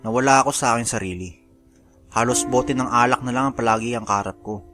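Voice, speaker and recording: A man's voice: mid-range voice, fast talker, natural voice. Guy playing a sad prisoner in his 40s telling his life story by letter, radio drama, music behind him. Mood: sorrowful, reflective. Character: Naldo, 45-year-old imprisoned former overseas worker confessing his sorrows. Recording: music under the voice.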